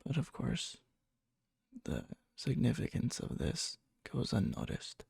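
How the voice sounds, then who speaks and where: A man playing a needy, lovesick, obsessive young friend in a soft, breathy ASMR roleplay, hesitant and coy. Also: medium pitch, huge pitch swings, slow, no echo, studio quality, full character voice. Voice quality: breathy, soft, fry-edged. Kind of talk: whispery ASMR roleplay, intimate storytelling.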